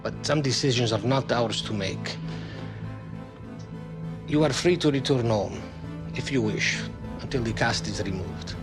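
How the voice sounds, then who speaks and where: A man's voice: medium pitch, close to an everyday voice. Man acting firm, formal football official, music behind. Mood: grave, sympathetic. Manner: formal, measured wording. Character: firm, caring male football team official. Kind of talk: video game cutscene acting. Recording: game dialogue over music.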